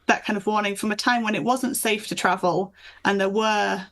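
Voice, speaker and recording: A woman with a mid-range voice and a noticeable creak talks as a guest author. She explains things in an enthusiastic, conversational way, as in an interview. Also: medium pitch, fairly even intonation, moderate pace, a bit bright. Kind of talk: conversational interview chat about folklore.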